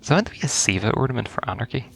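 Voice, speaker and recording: A man's voice: medium pitch, expressive, rapid-fire, gravelly, breathy edge. A man giving casual first-reaction commentary on a video game, rambling and thinking aloud as he goes. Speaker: gaming video host.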